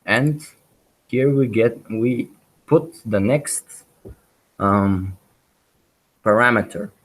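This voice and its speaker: A man teaching a programming webinar, walking through live code with many pauses, ums and restarts. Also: mid-range voice, slightly rough, rough recording. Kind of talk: live coding walkthrough.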